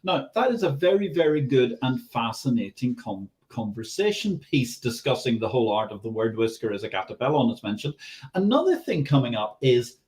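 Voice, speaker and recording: A man's voice: medium pitch, big pitch swings, brisk, darkish tone. A man hosting a live online Q&A, chatting and reading out viewers' questions over a video call. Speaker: webinar host.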